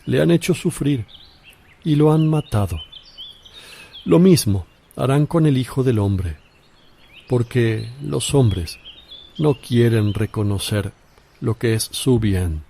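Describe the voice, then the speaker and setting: A man playing a solemn, grave holy teacher in a religious radio drama, speaking in measured declarations. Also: mid-range voice, expressive, gravelly, mellow, slightly rough, exaggerated voice. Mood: grave, sorrowful.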